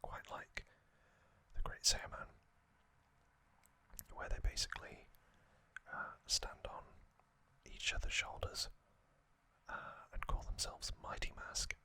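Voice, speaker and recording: A man hosting an ASMR video, whispering a soft, hesitant recap and correcting himself now and then. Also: slow pace, long pauses. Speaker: ASMR host.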